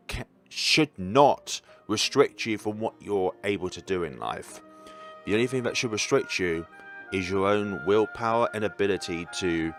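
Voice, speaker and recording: A man giving casual, chatty let's-play commentary, with reflective, halting asides as he reads through a game. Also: low voice, fairly bright tone. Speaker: video game playthrough narrator. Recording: background game music. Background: music from the visual novel.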